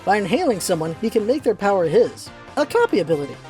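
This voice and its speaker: Mellow-voiced woman narrating a story. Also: mid-range voice, very animated, fast talker, soft background music. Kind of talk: scripted storybook narration for a radio play.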